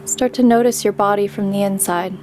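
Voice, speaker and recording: A woman leading a guided meditation with calm, gentle instruction and pauses between phrases. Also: medium pitch. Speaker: meditation guide, yoga teacher. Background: background music.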